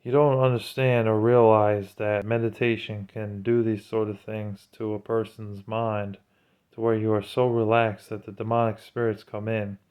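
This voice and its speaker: Low-voiced man, clear and preachy. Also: little pitch movement, normal speed, darkish tone. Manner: earnest, preachy. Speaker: religious commentator. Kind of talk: informal religious talk to camera.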